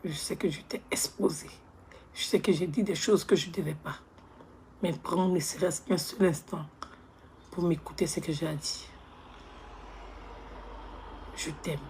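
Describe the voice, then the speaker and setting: A woman playing a hushed, pleading woman begging her ex. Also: low voice, steady tone, unhurried, pauses often, slight background noise. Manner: soft, hushed, pleading, often near a whisper. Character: remorseful young woman begging her ex on the phone. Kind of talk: comedy skit monologue.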